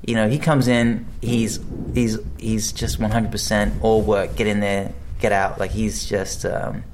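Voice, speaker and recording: A man's voice: fairly low pitch, level tone, measured pace, a little hiss or hum, rough recording. A man, an actor, chatting casually in an interview, rambling with many restarts and fillers. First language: English. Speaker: actor guest.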